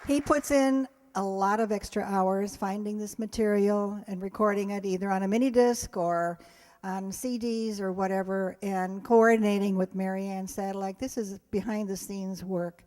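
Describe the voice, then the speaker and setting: Woman, medium pitch, informal stage announcements, rambling and chatty, community group spokesperson. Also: steady tone, normal speed, darkish tone, some chatter behind. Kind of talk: informal closing announcements to an audience. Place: live before an audience.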